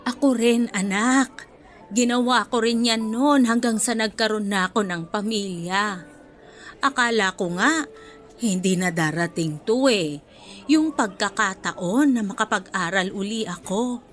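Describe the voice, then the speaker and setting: A woman playing a kindly, apologetic older student in a radio drama, speaking in a gentle, motherly and patient way. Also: mid-range voice, measured pace, a little hiss or hum, lightly acted. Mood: motherly, caring. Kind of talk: radio drama acting in Filipino. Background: music bed.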